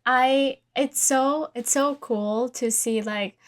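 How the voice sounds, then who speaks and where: Podcast host, a woman with a Colombian accent and a native Spanish speaker, with a fairly high voice. Conversational and searching. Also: slow pace. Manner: reflective, with many fillers and restarts. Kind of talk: casual interview chat.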